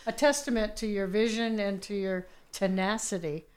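A woman's voice: mid-range voice, steady tone, moderate pace, clean tone. A woman hosting a casual interview, talking conversationally and sometimes searching for her words. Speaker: host.

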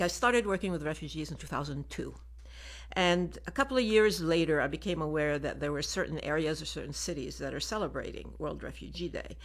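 Older woman with a gravelly voice. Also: deep voice. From Lebanon. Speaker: refugee program director, guest. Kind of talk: radio interview. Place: radio station studio.

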